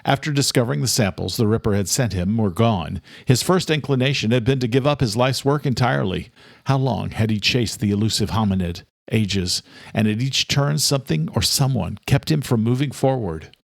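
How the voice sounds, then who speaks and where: A man reading a scripted audiobook as narrator, telling the story in a dramatic, measured way. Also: medium pitch, vocal fry, dry recording, clean studio sound.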